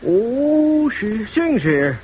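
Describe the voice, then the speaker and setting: A man's voice: very high-pitched, very animated, very slow, exaggerated voice. An actor playing a folksy, cagey stage doorman in an old radio drama, speaking informally and hesitantly. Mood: cagey, reluctant. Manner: hesitant, informal, drops word endings. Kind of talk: 1950s radio drama acting. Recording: muffled old radio recording, faint noise.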